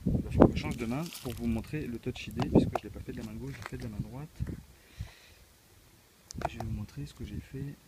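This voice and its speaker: A man's voice: medium pitch, quick pace, long pauses, heavy background noise. A man with a cold giving a casual, hesitant unboxing walkthrough as a tech reviewer, with many filler sounds. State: has a cold.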